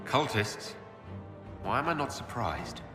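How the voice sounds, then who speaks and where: Expressive male fantasy leader. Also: mid-range voice, takes it slowly, music in the background. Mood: curious, wry. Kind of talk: dramatic fantasy game dialogue.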